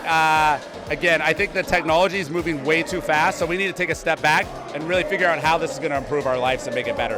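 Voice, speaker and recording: A man giving a casual event interview, upbeat and emphatic, often repeating his points. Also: high-pitched. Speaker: interview guest. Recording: music playing loudly behind.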